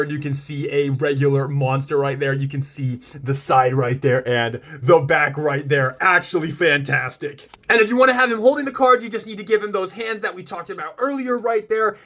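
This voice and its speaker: A man hosting a casual toy unboxing and review, gushing and excitable as he demonstrates things step by step in informal language. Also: medium pitch. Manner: gushing, excitable, exclaims often. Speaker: toy review video host.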